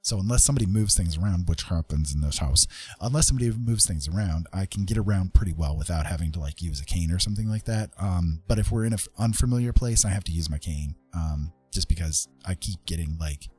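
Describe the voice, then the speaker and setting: A man chatting casually as he hosts an art livestream, rambling along in a self-deprecating, folksy way with a husky voice. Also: deep voice, fast, mellow, faint music behind. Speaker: livestream host and digital artist. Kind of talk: casual livestream chat while drawing.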